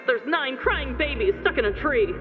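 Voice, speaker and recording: A woman's voice: high-pitched, lively intonation, moderate pace. A woman acting a frantic figure crying out in a comedic skit, muffled and reverberant. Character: frantic bystanders and cops crying out. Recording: muffled, long reverb, music behind.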